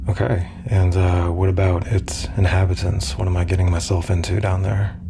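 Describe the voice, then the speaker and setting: An actor playing an awkward, earnest space commander who stammers and mutters nervously to himself in an audio drama. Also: male voice, level tone, moderate pace, mellow, a little hiss or hum. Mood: wry, unsure. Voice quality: low, gravelly. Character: awkward, earnest space commander, self-deprecating emissary, smitten. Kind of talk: immersive first-person audio drama.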